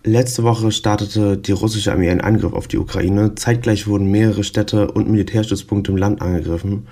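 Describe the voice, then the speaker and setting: A man's voice: fairly low pitch, fairly even intonation, normal speed, mellow. A man co-hosting a podcast, reading the intro and then chatting hesitantly with many fillers. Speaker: podcast co-host.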